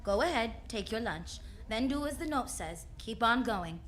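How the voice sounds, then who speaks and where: A woman's voice: a little high, expressive, normal speed, a bit bright. A woman playing a wisecracking, confident detective who tells her case in sassy slang, in old-time radio drama style. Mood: breezy, teasing. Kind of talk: 1940s radio drama acting.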